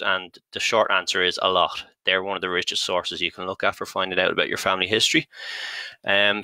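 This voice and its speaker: Dublin man, 30s, low bright voice, dry close mic; casual webinar presenter, lots of ums. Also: no echo, poor audio. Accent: Dublin Irish. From Ireland. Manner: chatty, frequent ums and restarts. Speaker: genealogy company content strategist presenting a webinar. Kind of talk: live-streamed online talk.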